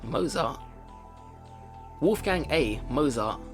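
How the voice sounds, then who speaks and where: A man's voice: medium pitch, lively intonation, takes it slowly. A man reading a visual novel aloud and commenting as he plays, thinking aloud in a chatty way. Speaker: let's player. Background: visual novel game music.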